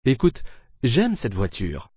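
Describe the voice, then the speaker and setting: A man's voice: medium pitch, wildly swooping pitch, slow, a little mellow, breathy edge, no room sound, clean studio sound, exaggerated voice. A man acting as an assured character in a scripted language-lesson dialogue. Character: assured man, car buyer or dentist.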